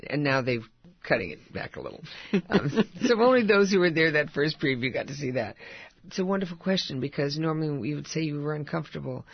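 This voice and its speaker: An older actress with a husky voice, chatting and telling stories in an interview with frequent laughs. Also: female voice, low voice, dry recording, highs cut off. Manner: chatty, laughs often, warm storytelling. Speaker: actress guest. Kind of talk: casual interview chat.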